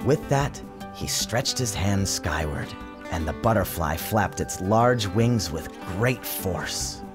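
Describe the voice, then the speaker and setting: Male storybook narrator, theatrical and expressive, swooping intonation, music underneath. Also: low voice, slow. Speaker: author narrating his storybook. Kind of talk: dramatic scripted storybook narration. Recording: music and sound effects behind narration.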